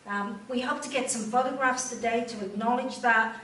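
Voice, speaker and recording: A Welsh woman addresses a gathering from a distance, her fairly high voice carrying around an echoey room with a faint hum of ambience behind. She talks informally to the crowd, dotting her speech with ums and friendly asides. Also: normal speed. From Wales. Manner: warm, informal speaking with many ums and direct questions to the audience. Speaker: chief executive giving a speech. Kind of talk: speech to an audience at an event. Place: large room at a volunteer event.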